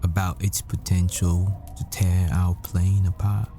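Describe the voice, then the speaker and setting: A man plays a yearning, devoted boyfriend in an intimate roleplay. His voice is very low and mellow, halting and tender, as if every line were a confession. He takes his time over faint music. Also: level tone. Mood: intimate, confessional.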